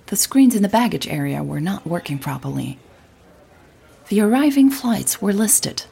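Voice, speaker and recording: Husky, low-voiced woman narrating a story. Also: darkish tone. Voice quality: husky, breathy. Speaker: audio drama narrator.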